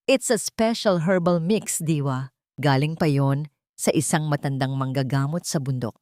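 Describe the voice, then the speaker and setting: A woman's voice: low-pitched, normal speed, darkish tone, no room sound, studio quality, lightly acted. A woman voicing a deceitful aunt who sounds falsely cheerful and composed, mixing English phrases into her talk. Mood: smug, reassuring.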